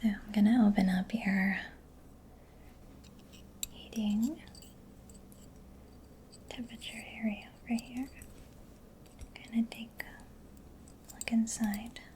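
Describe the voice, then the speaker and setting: Whispering woman in soft ASMR roleplay. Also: on the high side, fairly even intonation, slight background noise. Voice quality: breathy whispering, mostly unvoiced. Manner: gentle, reassuring, unhurried close-up delivery. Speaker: ASMR roleplay performer playing a mechanic. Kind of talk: soft-spoken ASMR personal attention roleplay.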